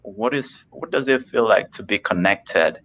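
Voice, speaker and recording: A man from Kenya, a guest on a live video call, talking slowly and earnestly about spiritual ideas. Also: fairly low pitch, expressive, fairly bright tone. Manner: slow, searching and earnest. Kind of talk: reflective spiritual conversation.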